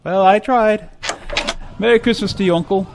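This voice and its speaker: An actor playing a cheerful, warm-hearted Victorian gentleman in a radio drama, hearty and friendly. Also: sits high, normal speed, a little hiss or hum. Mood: pleading, good-natured.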